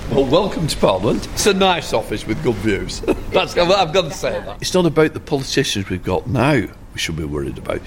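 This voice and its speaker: A man's voice: mid-range voice, sing-song, a little fast, hardly any pauses, slight background noise. A man, a member of parliament, talking earnestly in his office, repeating phrases for emphasis. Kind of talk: earnest on-location conversation.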